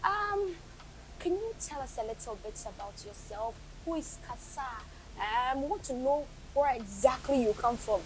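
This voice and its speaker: A woman's voice: sits high, animated, moderate pace, background noise. A woman hosting a TV interview, teasing and playful, mixing pidgin into her questions. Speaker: TV show host.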